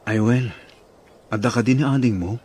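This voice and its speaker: A man's voice: mid-range voice, normal speed, darkish tone, husky, lightly acted. A man playing a kind, courteous, easygoing young suitor in an Ilocano audio drama, with an Ilocano accent. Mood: friendly. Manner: forgiving, easygoing.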